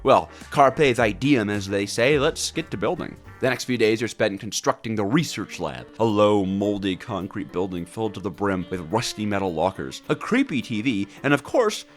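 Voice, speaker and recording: A man hosting a gaming video, narrating from a script with wry, jokey asides and an expressive delivery. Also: lowish voice, normal speed, few pauses, faint music behind.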